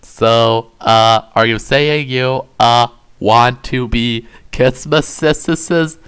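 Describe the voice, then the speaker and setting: A man's voice: mid-range voice, steady tone, takes it slowly, a bit bright, clean tone. A man voicing a shy, lovesick young troll boy who talks hesitantly, full of uhs, in an amateur fan fiction reading. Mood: pleading. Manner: hesitant, stammering with frequent uhs.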